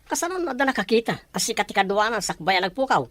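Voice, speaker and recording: Woman acting a suspicious, expressive melodrama character. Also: fairly high pitch, quick pace, no echo. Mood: anxious. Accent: Ilocano. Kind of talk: Ilocano radio drama acting.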